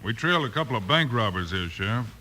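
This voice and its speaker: A composed, steady American marshal in a radio western. His voice is mid-pitched and clear-edged, bright and firm, on an old recording with faint hiss. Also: fast. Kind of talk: 1950s radio western acting.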